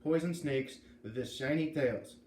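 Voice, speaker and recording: A man's voice: medium pitch, takes it slowly, darkish tone, lightly acted. A man voicing an angry, blustering dog trainer with a put-on German accent and broken English, in a read-aloud storybook. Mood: furious, accusing. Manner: emphatic, blustering. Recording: echoey room, faint background noise.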